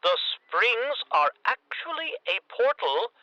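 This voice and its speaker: An actor playing a pompous, excitable conspiracy theorist calling in to a comedy radio drama, with theatrical, grandiose indignation. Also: male voice, extremely high pitch, slow pace, no room sound, dull audio, heavily acted. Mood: conspiratorial, insistent. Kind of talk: comedic audio drama acting.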